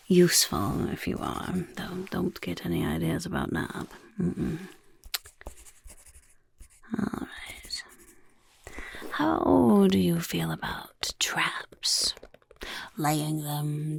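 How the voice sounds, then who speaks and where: Woman doing a sly goblin overlord, whispered, gravelly, slow, swooping pitch; soft-spoken ASMR roleplay. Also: heavily acted. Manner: whispery, speaks of self in third person. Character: goblin overlord of a chaos horde, sly and self-important. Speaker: interviewer.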